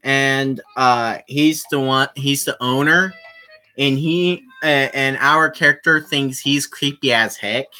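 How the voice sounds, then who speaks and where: Casual game streamer, sharp bright delivery, slow pace with repeated phrases, music underneath. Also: medium pitch. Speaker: streamer playing a visual novel. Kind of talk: casual livestream commentary with read-aloud.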